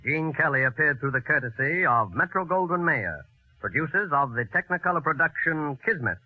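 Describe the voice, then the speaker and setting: A man's voice: fairly high pitch, expressive, normal speed. A man announcing formally and dramatically in scripted 1940s radio style. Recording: muffled old radio recording, loud music.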